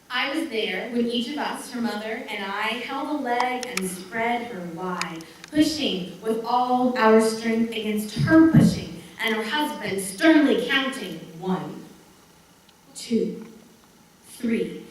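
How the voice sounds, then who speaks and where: A woman performing a theatrical monologue on stage, with dramatic, deliberate phrasing broken by pauses. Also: medium pitch, a little mellow. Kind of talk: theatrical spoken monologue recitation. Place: large hall or stage, recorded from afar. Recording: very echoey hall, distant mic, faint noise.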